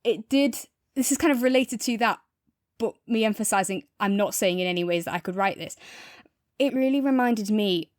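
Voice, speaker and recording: Female podcast co-host, mid-pitched, enthusiastic casual review chat, very dry recording. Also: normal speed, no echo.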